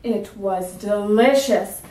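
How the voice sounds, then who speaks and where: A woman teacher reading a picture book aloud in a clear, measured storytelling manner. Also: medium pitch, dark tone. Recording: roomy sound from a distance.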